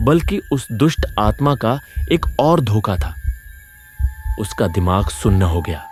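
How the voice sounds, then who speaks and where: A man's voice: medium pitch, darkish tone. A man reading a scripted horror story as a dramatic narrator.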